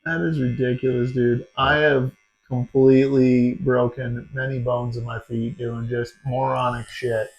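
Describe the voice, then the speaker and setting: A man's voice: mid-range voice, measured pace, low-quality recording. A man in his forties, hosting a podcast, telling stories in casual chat with lots of swearing and "dude". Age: 40s. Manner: swearing, animated storytelling, says dude a lot. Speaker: podcast host.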